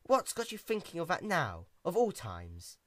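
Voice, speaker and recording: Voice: mid-range voice, huge pitch swings, moderate pace, voice pushed up. A performer voicing a serene, composed female pony princess with a genteel, understated manner in a storybook reading. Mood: calm, airy.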